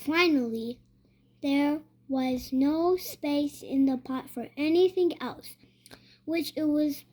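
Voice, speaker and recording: Child reader, high voice, very slow and hesitant storybook read-aloud, repeats words while sounding them out. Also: darkish tone. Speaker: young reader.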